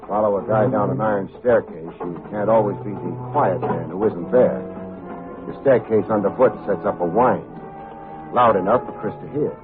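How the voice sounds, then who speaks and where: Man acting a hard-boiled private eye, low voice, wry and unhurried, old radio drama, tinny narrow sound, faint music. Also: lightly acted. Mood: wry narrating. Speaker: actor playing the lead detective, also narrating. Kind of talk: old-time radio detective drama acting and first-person narration.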